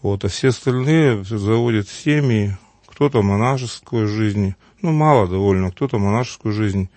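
Priest from Uglich: low-pitched man, unhurried anecdotes, close dry mic. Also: darkish tone, dry recording. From Uglich, Russia. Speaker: Orthodox priest. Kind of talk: conversational storytelling.

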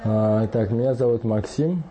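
Hesitant young man with a very dark voice. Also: medium pitch. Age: 20s. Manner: halting, hesitant, confessional. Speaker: interviewee in rehab. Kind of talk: candid interview answer.

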